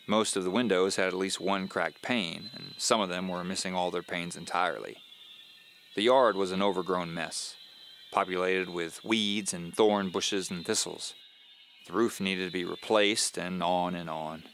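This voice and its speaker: A man playing a weary, haunted homeowner, narrating a mystery audio drama in a measured, confiding, wry way. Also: low-pitched, steady tone, fairly bright tone, slight background noise. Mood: rueful, confiding. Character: weary, haunted male homeowner recording his secrets. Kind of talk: first-person scripted storytelling. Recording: voice over music bed. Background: background music.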